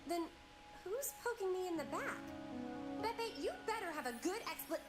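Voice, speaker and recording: A woman playing a gentle, polite and earnest young church acolyte in a video game, slightly flustered in manner. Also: very high-pitched, expressive, normal speed. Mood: searching, puzzled. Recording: loud music behind the voice.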